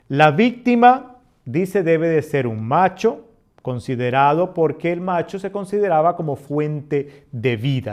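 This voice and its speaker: Man, middle-aged, Costa Rican accent, a bit high-pitched, scripture teacher who reads slowly and builds emphasis. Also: darkish tone. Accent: Costa Rican Spanish. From Costa Rica. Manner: reads passages slowly, then explains with swelling emphasis. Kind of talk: reading scripture and explaining it.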